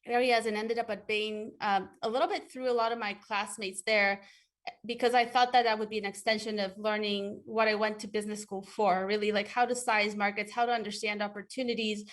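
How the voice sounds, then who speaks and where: A woman from Ecuador, a guest in an interview, answering thoughtfully with frequent fillers and restarts. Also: mid-range voice.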